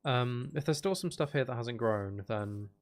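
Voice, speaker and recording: A man's voice: medium pitch, moderate pace. A man giving casual, unscripted gameplay commentary as a video host, thinking aloud and trailing off mid-sentence.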